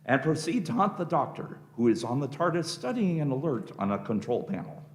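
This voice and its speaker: A man's voice: medium pitch, very animated, a little fast. A man narrating a scripted sci-fi radio play.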